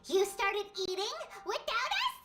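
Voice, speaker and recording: Super high squeaky whiny little fairy buddy for a game, excitable, music going behind. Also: female voice, unhurried, far from the mic, heavily acted. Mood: indignant. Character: tiny excitable, indignant fairy-like companion, childlike. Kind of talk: video game character dialogue.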